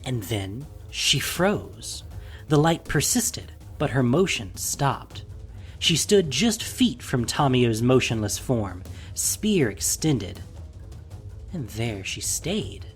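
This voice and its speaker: A man narrating a scripted fantasy audio drama in a dramatic, deliberate way, with a breathy voice. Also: mid-range voice, very animated, faint music behind.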